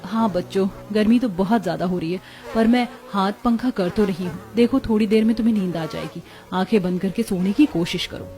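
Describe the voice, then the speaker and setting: A woman voicing a patient, worried poor mother and elder sister-in-law in an animated story. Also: mid-range voice, brisk, natural voice. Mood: soothing. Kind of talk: animated Hindi family story acting.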